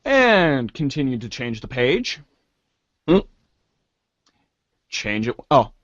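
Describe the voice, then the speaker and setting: Animated, unhurried man narrating. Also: mid-range voice, dry recording, lo-fi sound. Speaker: narrator and host of reader's theater show. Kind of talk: theatrical second-person narration with casual asides.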